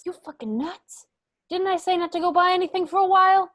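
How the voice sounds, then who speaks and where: A woman's voice: very high voice, steady tone, fairly quick, fairly bright tone, no room sound, clean studio sound. A woman playing a domineering, exasperated, foul-mouthed mob boss in a crime radio play, with a brash and emphatic delivery. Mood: exasperated anger.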